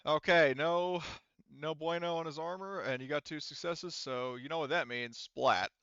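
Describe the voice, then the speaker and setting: A man's voice: a little high, moderate pace, highs cut off, noisy background. A man acting as game master, narrating a tabletop roleplaying game in an informal, casual way. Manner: informal, occasional swearing.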